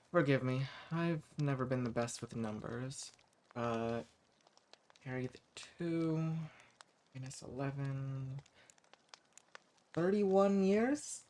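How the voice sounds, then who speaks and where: A man playing a centuries-old hermit vampire, eccentric and sweet-talking with quiet menace, in a scripted roleplay audio drama spoken to the listener. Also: mid-range voice, takes it slowly, long pauses, a little hiss or hum, natural voice. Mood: flirtatious, musing.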